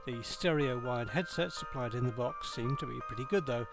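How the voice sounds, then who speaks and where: A man reading a scripted product review as narration, in a measured, matter-of-fact way. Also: medium pitch, creaky, over loud music.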